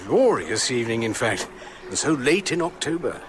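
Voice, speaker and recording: An actor playing a pious, poetic old gentleman in a radio drama, genteel and effusive. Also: mid-range voice, big pitch swings, moderate pace, noisy background, natural voice. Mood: serene.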